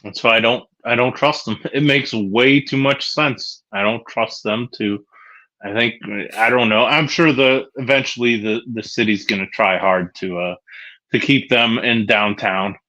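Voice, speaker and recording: A man from co-hosting a casual sports podcast, talking hesitantly with many pauses and restarts. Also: medium pitch, pushed and bright. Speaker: podcast co-host. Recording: dull lo-fi sound.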